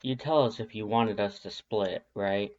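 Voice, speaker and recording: Performer doing male skeleton, mellow, laconic, casual, storybook character, muffled recording. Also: measured pace, lightly acted. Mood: casual, unsure. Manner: laconic, casual, low-key. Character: short laid-back male skeleton, mellow and dry. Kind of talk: fan fiction character voice in audiobook reading.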